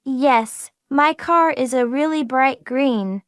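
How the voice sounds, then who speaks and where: A woman voicing a friendly everyday speaker in a beginner English lesson dialogue. Her voice sits high, and she speaks each line slowly and clearly. The recording is close and completely dry. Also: dry recording. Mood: plain. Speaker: dialogue partner in English practice. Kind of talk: slow scripted practice dialogue.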